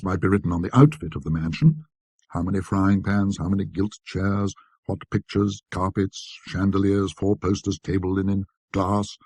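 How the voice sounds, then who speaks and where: A man with a husky, raspy voice narrating a classic literary ghost story in a precise, scholarly, measured manner. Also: deep voice, mellow, no echo.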